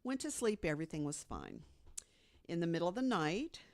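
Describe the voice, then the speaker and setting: A woman on a podcast, casually telling an urban legend, with lots of "you know" and hushed tones for the scary moments. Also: fairly low pitch, wildly swooping pitch, moderate pace. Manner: chatty storyteller, fills with "you know", drops to hushed tones for the scary parts. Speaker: podcast co-host. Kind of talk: casual storytelling chat.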